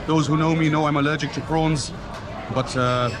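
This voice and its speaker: A man's voice: fairly high pitch, fairly even intonation, normal speed, a crowd in the background. Man tasting food, talking through bites. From Kenya and Switzerland. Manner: enthusiastic, eats while talking, lists flavors. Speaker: travel vlogger. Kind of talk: casual on-camera food tasting.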